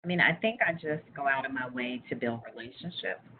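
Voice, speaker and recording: A woman's voice: rumbling low, brisk. A woman being interviewed over the phone, talking casually and informally about her work, with lots of "like". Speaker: interviewee, community engagement program staffer. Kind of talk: casual research interview, conversational. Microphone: phone line. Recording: muffled lo-fi phone call, clear noise.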